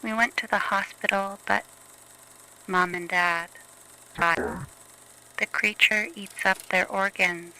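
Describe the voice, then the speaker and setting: A woman's voice: medium pitch, steady tone, slow, pauses often, pushed and bright, clear, resonant tone, full character voice, poor audio. A woman playing a shaken young survivor giving an earnest, plain-worded testimony in a horror story. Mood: distressed.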